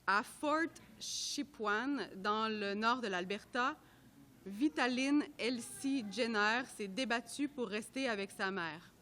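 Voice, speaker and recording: Bright-voiced woman reading aloud. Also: on the high side, slight background noise. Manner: measured, careful reading that stumbles over names. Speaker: reader at a public reading. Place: art gallery at a live event.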